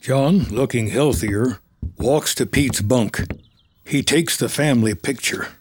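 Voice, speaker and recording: Slow-paced male screenplay narrator. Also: mid-range voice, slight background noise. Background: music.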